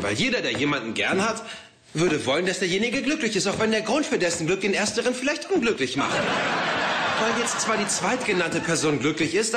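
A man playing an anxious, over-analytical young scientist in a dubbed sitcom, wordy and prone to stammering. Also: sits high. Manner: stammering when flustered, wordy. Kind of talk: dubbed German sitcom acting. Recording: noisy, echoey TV soundtrack rip.

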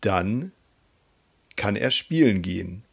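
A man working as a language teacher, reading example sentences in a deliberate, instructional way. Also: lowish voice, expressive, creaky, husky. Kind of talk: scripted grammar drill, reading example sentences.

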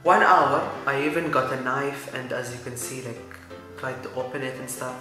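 A man's voice: mid-range voice, moderate pace, very echoey, music in the background. A teenage boy from Dubai with an Arabic accent, rambling goofily through a casual do-it-yourself vlog. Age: teens. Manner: goofy, rambling, self-mocking. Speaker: YouTuber. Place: small room, indoors. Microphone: camera mic at close range.

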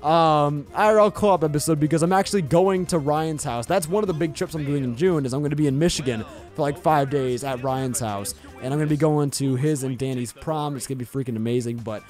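A man's voice: fairly high pitch, fairly quick, few pauses. Chatty young man with a Southern US accent narrating a game. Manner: chatty, rambling, excitable, says bro and yo. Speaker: Let's Play host. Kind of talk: casual gameplay commentary. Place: home recording setup with webcam. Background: faint game music.

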